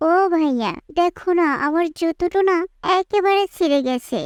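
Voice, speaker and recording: A woman's voice: very high-pitched, lively intonation, moderate pace, no room sound, clean studio sound. A woman voicing a little village girl, a pleading, whiny younger sister in a cartoon moral story. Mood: eager, pleading. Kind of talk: animated moral story dialogue.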